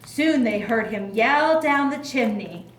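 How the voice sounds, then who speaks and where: A woman narrates a children's puppet show in a high, very lively voice. She is theatrical and unhurried, recorded in an echoey, noisy room. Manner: theatrical, drawn-out. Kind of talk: scripted children's story narration. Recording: echoey room with clear background noise.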